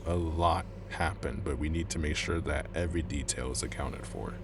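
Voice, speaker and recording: An actor playing a cold, composed, calculating male operative in an audio drama, speaking formally and matter-of-factly. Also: rumbling low. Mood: cool, matter-of-fact. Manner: measured, matter-of-fact, formal. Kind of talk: cinematic audio drama acting. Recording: clear background noise and ambience.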